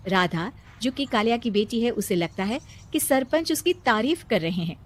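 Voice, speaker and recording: A woman narrating a cartoon storybook in a plain, even storytelling way. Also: fairly high pitch, moderate pace.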